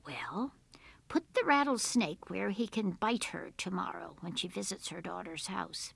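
A woman voicing wise little men, calm advisers in a folk tale, speaking in a hushed, deliberate, knowing way. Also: fairly low pitch, gravelly, breathy edge, dry recording, exaggerated voice. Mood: grave advising.

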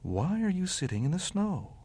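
A man voicing a great silver male wolf who speaks slowly, gently and deliberately. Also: sits high, big pitch swings, husky, voice pushed up, exaggerated voice. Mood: gentle.

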